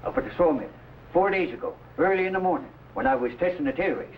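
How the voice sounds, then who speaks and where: An actor playing an excitable, secretive frontier sawmill man in an old adventure drama, eager and conspiratorial. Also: fairly high pitch, quick pace, distant mic, close to an everyday voice. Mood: excited. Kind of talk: vintage adventure drama acting. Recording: dull, noisy vintage drama soundtrack.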